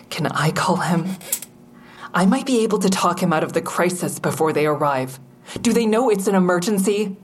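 A woman's voice: on the low side, animated, moderate pace, pauses often, breathy edge. An actress playing a guarded, agitated, sardonic woman who narrates and speaks in an audio drama. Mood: agitated, sarcastic. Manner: sardonic, exasperated. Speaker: narrator and lead character. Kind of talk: first-person audio drama narration and dialogue.

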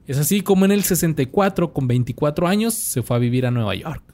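A young man with a Mexican accent, his voice sitting a bit high, talking through a life story on a podcast. He reads from notes in a relaxed, slangy way, stumbling and restarting now and then. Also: fast talker. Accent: Mexican Spanish. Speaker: podcast host. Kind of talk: casual podcast chat, reading a bio.

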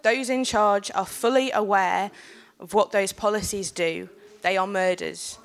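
Woman, bit of a cold, earnest panel talk, mid pitch, steady. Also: a little slow, vocal fry. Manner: earnest, steady, building to forceful points. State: has a cold. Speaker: panel speaker and organiser. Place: packed meeting room.

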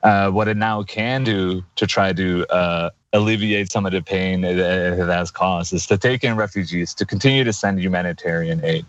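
A man in his thirties with a low, deep voice talks earnestly, stopping and restarting as he builds his points. The sound is dull and lo-fi, like remote audio. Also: steady tone. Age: 30s. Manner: earnest, halting with many fillers and restarts. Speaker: guest activist. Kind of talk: interview answers.

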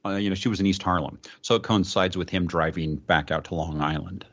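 A man's voice: sits low, fairly even intonation, fairly quick. A man co-hosting a podcast, casually discussing research, recorded with slightly dull, lo-fi sound. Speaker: podcast co-host.

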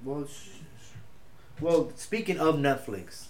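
A young man whose first language is Spanish, co-hosting a casual podcast chat in an animated, slangy way with lots of swearing. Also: on the high side, slow. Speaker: podcast co-host. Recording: echoey room with faint noise.

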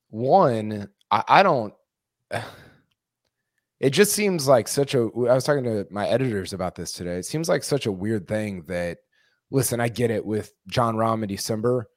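A man co-hosting a sports podcast, a bit hoarse, rambling with many restarts. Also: lowish voice, dry recording, studio quality. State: losing his voice. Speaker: podcast co-host. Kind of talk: casual sports podcast chat.

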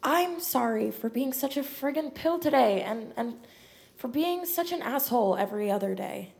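A woman's voice: fairly high pitch, expressive, normal speed, gravelly, darkish tone. A woman playing a guarded, blunt but vulnerable American teenage girl in an audio drama, earnest and slangy. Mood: vulnerable, confessing. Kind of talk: naturalistic audio drama acting.

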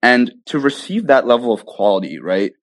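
A man's voice: mid-range voice, very animated, moderate pace, no echo. A young man answering interview questions casually and enthusiastically, often checking in with "right?" and "you know". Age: young adult. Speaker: interview guest, tech startup founder.

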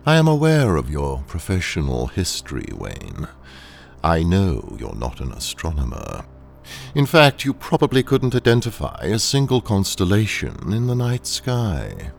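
Ruthless corporate trainer, audio drama, slow delivery, low creaky voice, polite menace, condescending, faint music behind. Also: male voice, full character voice.

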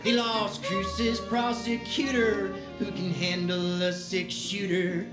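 A woman's voice: medium pitch, level tone, slow pace, close to an everyday voice. A woman playing a folksy campaign singer in a sung cowboy jingle for an audio drama. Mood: rousing. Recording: echoey, loud guitar music.

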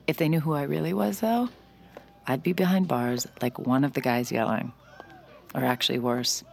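An actress playing a young adult mind reader in an audio drama, narrating her thoughts quietly and reflectively, sometimes in a whisper. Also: a bass voice, steady tone, normal speed, creaky. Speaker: mind-reading lead character.

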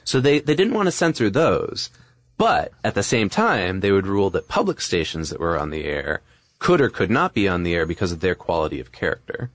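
A man with a low voice talks casually as a podcast co-host. He explains things conversationally, with little asides, in a dry, close recording. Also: very animated, a little fast, no room sound.

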